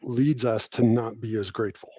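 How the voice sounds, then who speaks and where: Plain-spoken man, mellow and dry. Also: fairly low pitch, fairly even intonation, normal speed, dry recording. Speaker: podcast guest, technology professional and army veteran. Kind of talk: conversational explanatory interview answers.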